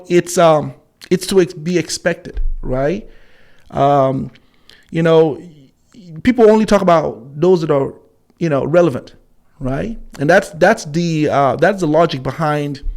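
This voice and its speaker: A man giving unscripted opinion commentary as a host, haltingly, repeating words and often checking in with "right?". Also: fairly high pitch, darkish tone.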